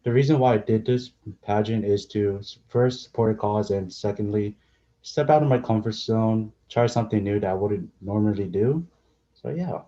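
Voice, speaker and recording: Low, mellow young man, lo-fi. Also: moderate pace. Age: young adult. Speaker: pageant contestant. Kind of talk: self-introduction.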